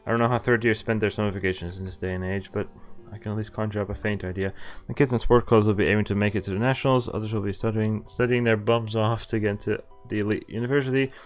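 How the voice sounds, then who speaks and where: A man's voice: on the low side, steady tone, fast talker, few pauses. A man streaming a visual novel, reading its dialogue aloud and reacting casually in a jokey way with some swearing. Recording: music under the voice. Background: game music.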